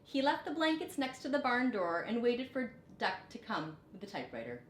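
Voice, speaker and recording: A woman's voice: on the high side, wildly swooping pitch, moderate pace. A woman teacher narrating a storybook to children in an explanatory, questioning manner. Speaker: teacher reading aloud. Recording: roomy echo, background noise.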